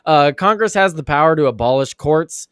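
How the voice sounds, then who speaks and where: A man hosting a live commentary show who sounds raspy and under the weather, rambling with frequent restarts and fillers. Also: lively intonation, normal speed, a bit bright, no room sound, studio quality. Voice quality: raspy, deeper than usual. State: sick, clearing throat and coughing. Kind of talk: casual live-stream commentary.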